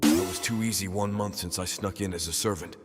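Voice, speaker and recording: A man's voice: low-pitched, brisk, over loud music, lightly acted. An actor playing a smug, sly thief posing as a servant in an anime-style dub, with reverb on his voice. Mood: smug, scheming. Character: scheming male thief posing as a mansion servant.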